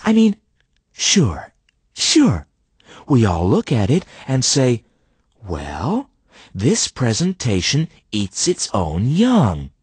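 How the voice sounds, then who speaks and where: An actor playing a pompous, theatrical man in a comic video game, with a husky voice and exaggerated delivery. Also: mid-range voice, darkish tone, no echo, exaggerated voice. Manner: exaggerated, wildly swooping delivery.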